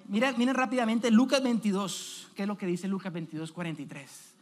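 A man in his thirties, an evangelist preaching an animated sermon on a church stage, calling out questions to the congregation. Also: high voice, a little fast. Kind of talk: energetic sermon.

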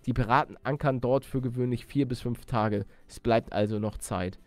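A man's voice: mid-range voice, moderate pace, dry recording, pitched below the performer's own voice, close to an everyday voice. A man voicing a courteous, sentimental ship captain as he reads out video game dialogue. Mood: earnest, sentimental. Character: plain-spoken male ship captain, polite and sentimental.

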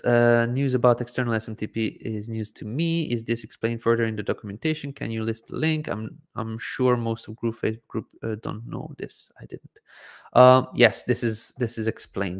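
A man's voice: lowish voice, normal speed. A man in his thirties from Croatia, native Hungarian, casually answering viewer questions on a livestream with lots of ums, speaking into a desk microphone. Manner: relaxed, hesitant with frequent ums and "I believe". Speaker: livestream Q&A host. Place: home studio, indoors, seated in gaming chair. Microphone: desk microphone in front of face.

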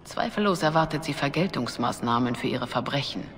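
An actress playing a calm, devout, quietly shrewd woman cleric, speaking formally and with measure in a dubbed video game. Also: a bass voice, a little fast. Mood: grave, discreet. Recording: long hall reverb. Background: music.

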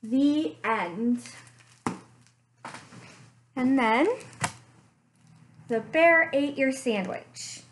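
A young woman, a librarian, reading a picture book aloud slowly and expressively at home into a webcam. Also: sits high, slight background noise. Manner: slow, expressive storytime reading. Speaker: children's librarian. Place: living room at home.

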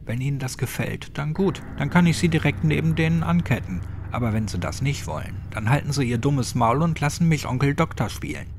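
Man putting on a higher-pitched, scornful, sadistic old man. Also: fairly quick, vocal fry, few pauses, background noise, lightly acted. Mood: threatening. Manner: clipped, slangy, mocking. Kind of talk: horror audiobook character voice.

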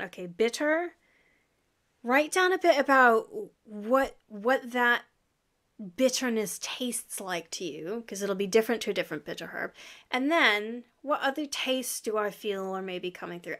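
A woman's voice: on the high side, a bit bright. A woman in her thirties with an American accent, a guest herbalist chatting casually on a video call from a home office, laughing often as she explains. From lives in Yorkshire, UK. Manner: chatty, laughs often, gestures while talking. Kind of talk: casual interview chat. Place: home office with framed flower print.

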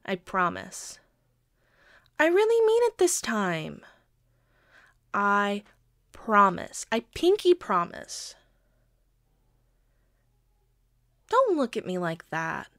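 Slow-paced, sheepish young girlfriend played by a woman. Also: mid-range voice, huge pitch swings, a bit bright, heavily acted. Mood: pouty, earnest. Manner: hesitant, pleading, halting with pauses. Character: sheepish, pleading, playfully defensive quirky young girlfriend. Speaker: amateur audio roleplay voice actor. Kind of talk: intimate one-sided girlfriend audio roleplay.

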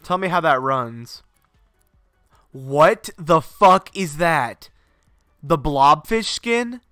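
A young man with a bright, crisp voice and a stuffed-up, sinusy tone chats loosely on a live stream, rambling between topics and swearing as he gets excited. The sound is close and dry, with faint game music underneath. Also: fairly high pitch, extremely slow, no echo. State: congested, sinusy. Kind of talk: casual live-stream chat. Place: home garage setup.

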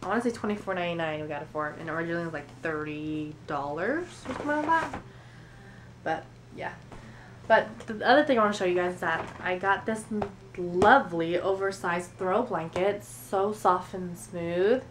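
A young American woman talks casually to a camera in a room at home, showing her shopping. Her voice sits a little low and sounds mildly congested from a cold. There is noticeable background noise in the homemade recording. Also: moderate pace. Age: 20s. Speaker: beauty and shopping vlogger.

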